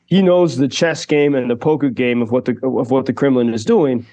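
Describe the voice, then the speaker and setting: A man's voice: mid-range voice, normal speed, dark tone. Man reporting live by radio line. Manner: thoughtful, many fillers and restarts. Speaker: radio correspondent. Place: Kyiv.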